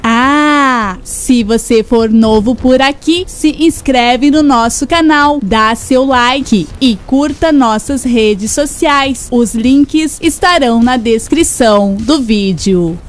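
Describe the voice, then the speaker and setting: A woman's voice: on the high side, slow pace, hardly any pauses. A woman reading a scripted promo as an announcer. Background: music.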